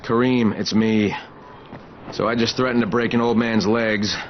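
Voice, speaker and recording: An actor playing a gruff, cynical undercover operative with a terse, dry delivery in a cinematic video game. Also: male voice, fairly low pitch, fairly even intonation, measured pace, lightly acted. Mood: weary, bitter. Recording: muffled game capture, clear background noise.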